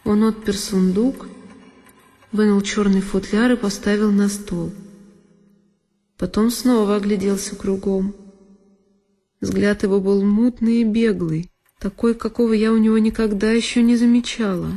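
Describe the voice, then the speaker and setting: Woman as mellow-voiced frightened girl narrator. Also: mid-range voice, steady tone, a little slow, close to an everyday voice. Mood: tense, watchful. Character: frightened young girl recalling a dreadful night. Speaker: solo performer of an audio drama. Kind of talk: dramatic first-person literary narration. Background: music behind the voice.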